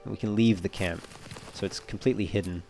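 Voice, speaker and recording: Male let's player, low-pitched, talking casually through a game and reasoning aloud as he goes. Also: steady tone, fast, gravelly, darkish tone, faint music behind. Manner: thinks aloud while reading menus. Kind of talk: casual gameplay walkthrough commentary.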